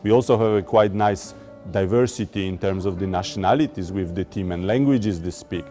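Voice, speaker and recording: A man from Poland, talking about his investment work in a corporate interview, measured and often repeating words as he looks for the phrasing. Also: deep voice, warm tone. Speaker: emerging market debt investor. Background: faint music.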